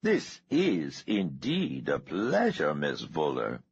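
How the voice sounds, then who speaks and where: A man voicing a courtly, smooth-talking male billionaire with an oily, polite manner. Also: very deep voice, huge pitch swings, slow, dry recording, muffled recording, full character voice.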